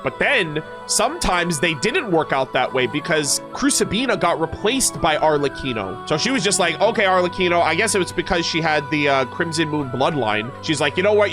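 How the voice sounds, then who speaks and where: Male gaming streamer, high-pitched, informal and slangy, reading and reacting over clear background music. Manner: informal, slangy, animated asides. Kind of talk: casual reaction commentary mixed with reading aloud. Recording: music playing behind the voice.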